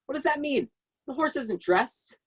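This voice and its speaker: A young man playing a troubled, impassioned teenage boy in a halting, emphatic theatrical monologue. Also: extremely high pitch, animated. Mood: indignant. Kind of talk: theatrical monologue, staged reading. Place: remote video call.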